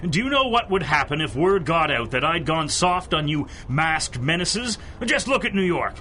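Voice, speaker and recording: An actor playing a gruff, stubborn police chief in a radio drama, brusque, blustering and suspicious of vigilantes. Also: male voice, on the high side, sing-song, moderate pace, bright, forceful tone, a little hiss or hum. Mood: indignant. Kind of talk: 1930s-set radio drama acting.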